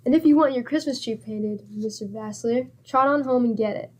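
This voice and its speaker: A woman's voice: fairly high pitch, moderate pace, a little mellow, natural voice. A woman playing a patient, dryly teasing middle-aged wife in an old-time radio comedy, wry and chiding.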